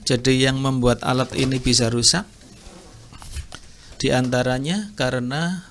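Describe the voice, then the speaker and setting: A man teaches in an informal way, taking his time over each point. His voice has a creaky, gravelly edge and he often repeats key phrases. The pace is slow, like a hands-on instructor talking a learner through basics. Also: mid-range voice, fairly bright tone. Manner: unhurried explaining with repetition and throat clearing. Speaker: audio repair instructor. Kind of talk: informal spoken tutorial.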